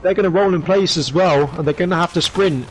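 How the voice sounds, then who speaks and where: A man commentating an esports match play by play, hoarse from shouting, with quick excited calls. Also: sits high, low-quality recording. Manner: rapid excited calls with rising bursts. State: hoarse, losing his voice.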